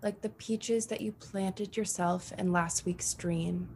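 A woman reading her poem slowly and softly. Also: on the low side, fairly even intonation, slight background noise. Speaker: poet. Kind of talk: poetry reading.

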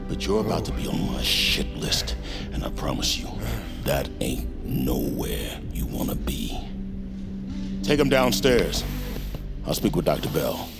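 An actor playing a stern, threatening guard in an audio drama, curt and folksy, with loud music behind him. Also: male voice, rumbling low, wildly swooping pitch, measured pace, vocal fry, exaggerated voice. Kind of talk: audio fiction acting.

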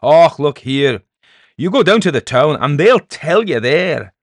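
A man's voice: on the high side, sing-song, measured pace, no room sound, studio quality, pitched above the performer's own voice, heavily acted. A man voicing a small, teasing peddler in a storybook tale, jaunty and taunting. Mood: indignant. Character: little Scottish peddler man, cheeky, teasing, grinning sceptic. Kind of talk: storybook character voice in a narrated tale.

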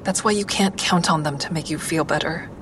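A woman playing a calm, candid crisis line counselor in an audio drama, speaking in a measured, consoling way. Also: deep voice, background noise, lightly acted. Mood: sympathetic, frank. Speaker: crisis line counselor and inner-monologue narrator. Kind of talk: audio drama acting with first-person asides.

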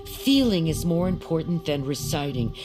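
Very deep-voiced stern woman mentor. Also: very animated, over music. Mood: insistent, stern. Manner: exacting, instructive, deliberate. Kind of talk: audio drama acting.

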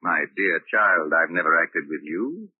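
A man's voice: deep voice, expressive, unhurried, slightly rough, dry recording, heavily acted. An actor playing a bitter old father-in-law in a 1940s radio drama, condescending and coldly polite. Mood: coldly disdainful. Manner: mock-courteous, condescending. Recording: muffled narrow-band old radio recording.

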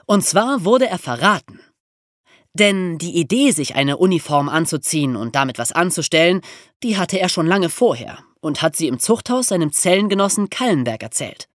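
Animated podcast storyteller with a dry, close sound. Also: mid-range voice, moderate pace, dry recording. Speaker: podcast presenter. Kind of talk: explanatory storytelling in a history podcast.

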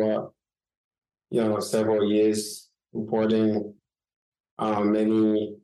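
A middle-aged man from Haiti, a journalist answering interview questions, talking haltingly with many fillers and restarts over a remote connection. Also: lowish voice, creaky, warm tone. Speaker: guest journalist and author. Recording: echoey remote call audio.

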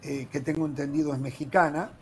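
A man hosting a sports talk panel, animated and leaning on "eh" fillers as he leads a heated debate. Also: a little high, fast talker, slight background noise. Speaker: sports talk show host.

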